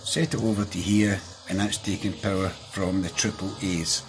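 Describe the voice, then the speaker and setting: Low-voiced Scottish man reviewing a gadget. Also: steady tone, moderate pace, over music. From Scotland. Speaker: product reviewer. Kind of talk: casual hands-on product review. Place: small indoor room at a desk.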